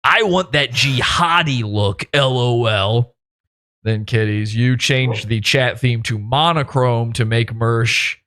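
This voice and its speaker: A man's voice: medium pitch, takes it slowly, sharp tone. A man hosting a podcast interview, sarcastic and mocking as he reads out comments and messages. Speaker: podcast host.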